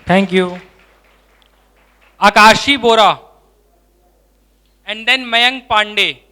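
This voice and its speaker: A man's voice: high-pitched, takes it slowly, sharp tone. A man hosting an orientation, briefly thanking each speaker and calling the next name. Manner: crisp, calls out names in turn. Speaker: orientation host calling students. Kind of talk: brief announcements.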